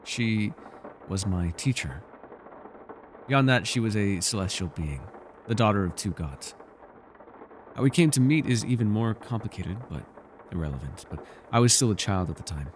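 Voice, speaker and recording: Man voicing mysterious ancient male elf, deep-pitched, wistful, softly teasing, measured storyteller, ASMR fantasy roleplay, some background noise. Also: lively intonation, close to an everyday voice. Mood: wistful, reflective. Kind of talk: ASMR character roleplay, intimate scripted monologue.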